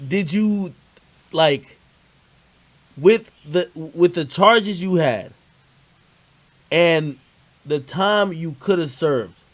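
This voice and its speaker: A man's voice: on the high side, lively intonation, drawn-out words, long pauses. A man hosting a casual, heated livestream interview, talking in profane street slang and insistently repeating his points. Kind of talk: casual heated argument on a stream.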